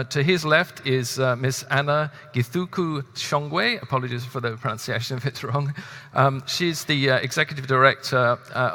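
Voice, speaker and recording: A British man addresses a conference from the stage in an earnest, halting way, full of ums and uhs. His voice is bright and forceful at a middle pitch. A long reverb hangs behind it from the large hall. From half British, half Spanish. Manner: earnest, hesitant with many fillers. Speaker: director moderating a closing session. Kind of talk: conference moderation speech.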